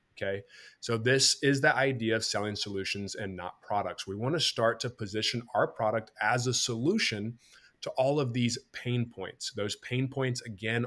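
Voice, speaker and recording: A man's voice: mid-range voice, fairly bright tone. A man in his thirties hosting a farm marketing podcast over a video call, explaining ideas step by step and acting out what customers think. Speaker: podcast host. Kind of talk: teaching podcast talk. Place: bright home room.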